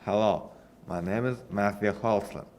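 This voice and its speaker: A man's voice: fairly low pitch, moderate pace, darkish tone, slight background noise. A nineteen-year-old man introducing himself as an intern, speaking carefully after a false start. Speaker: program intern. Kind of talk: prepared self-introduction.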